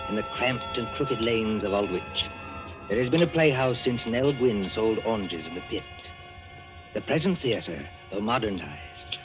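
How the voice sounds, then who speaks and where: Ominous male radio narrator over loud music. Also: fairly low pitch. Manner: ominous, theatrical, measured. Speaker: host and narrator. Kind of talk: 1940s radio scripted narration.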